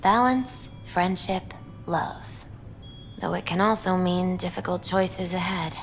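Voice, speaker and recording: A woman playing a calm, mystical tarot reader in a video game, speaking gently in a measured, reflective way. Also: fairly low pitch, faint music behind.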